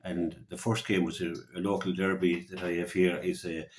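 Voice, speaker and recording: Male local radio sports pundit, Irish accent, very low voice, halting off-the-cuff delivery with repeated words. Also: flat delivery. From Ireland. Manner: halting, repeats words as he gathers his thoughts. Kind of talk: unscripted sports commentary and opinion.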